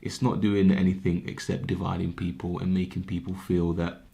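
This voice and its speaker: A man's voice: low voice, flat delivery, normal speed, gravelly, dark tone. A man in his twenties giving an earnest, impassioned rant straight to camera, often repeating words as he thinks. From Britain. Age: 20s. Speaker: vlogger. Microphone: camera mic, talking to camera.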